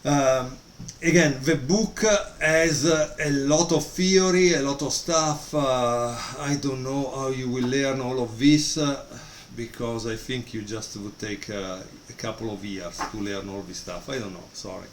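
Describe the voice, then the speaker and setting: Guy rambling through a book review, lots of uhs, middle-pitched voice, faint noise in the room. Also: fairly even intonation, measured pace, echoey room. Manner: hesitant, many fillers. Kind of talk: casual video review. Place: room at home, storm outside.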